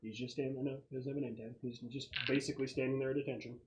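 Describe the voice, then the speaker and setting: A man running a tabletop game as game master, narrating scenes casually in halting, descriptive phrases. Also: medium pitch, flat delivery. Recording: muffled, noisy room recording with clicks.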